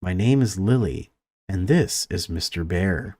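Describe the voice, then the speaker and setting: A man's voice: sits low, expressive, unhurried, dark tone, no echo. A man plays the innocent, curious little girl you meet in the spare bedroom of a haunted-house game, talking in simple childish phrases.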